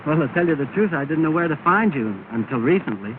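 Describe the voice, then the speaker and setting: A man's voice: on the high side, lively intonation, quick pace, natural voice. A man plays a courteous young visitor with a slightly raised voice and a mild, dry wit. The sound is tinny and full of hiss, as on an early sound film. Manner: courteous, mild, dryly humorous. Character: polite, good-natured young visitor.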